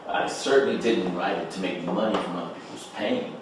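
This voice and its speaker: A man's voice: low voice, a little fast, close to an everyday voice. A man playing a desperate, trapped writer defending himself in a table read of a stage play. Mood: defensive. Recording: echoey room, distant mic, noise.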